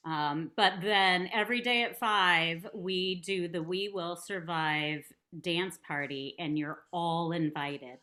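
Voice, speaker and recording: A woman's voice: fairly low pitch, slow, few pauses, pushed and bright. A woman talking casually as a guest on a group video call. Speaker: guest, performer and voice teacher.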